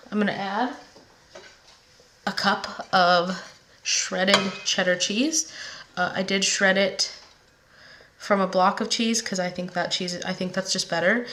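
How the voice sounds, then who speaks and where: A woman's voice: mid-range voice, fairly bright tone. A woman hosting a home cooking vlog, chatting casually and rambling a little, sounding nasal while she gets over a head cold. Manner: chatty, rambling, laid-back with many fillers. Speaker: home cooking channel host. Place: home kitchen. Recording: echoey room, faint background noise.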